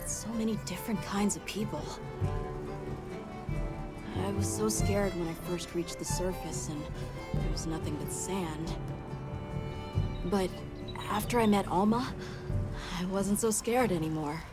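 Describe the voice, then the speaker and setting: A woman's voice: mid-range voice, measured pace, long pauses, loud music behind the voice, close to an everyday voice. A woman voicing a shy, curious young newcomer in a video game, talking in a hesitant, earnest way. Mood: wondering. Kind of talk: video game cutscene voice acting.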